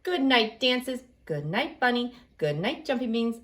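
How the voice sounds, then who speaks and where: A woman leading a library storytime for children, singing along and reading picture books aloud in an expressive, exaggerated way. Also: on the high side, a little slow. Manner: expressive, exaggerated delivery aimed at small children. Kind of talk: animated children's sing-along and picture-book read-aloud.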